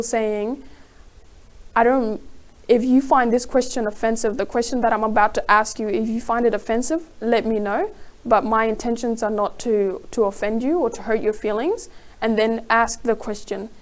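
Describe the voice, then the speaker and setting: A woman's voice: fairly high pitch, fairly even intonation, normal speed. A young woman from South Sudan whose first language is Dinka, speaking casually on a panel with many "like" fillers. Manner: chatty, heavy use of "like" fillers.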